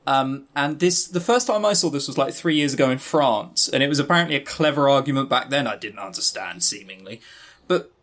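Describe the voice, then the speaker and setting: A man's voice: mid-range voice, expressive, a little fast, a bit bright. A man co-hosting a podcast in casual chat, joking and teasing with a mock-serious manner. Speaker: podcast co-host.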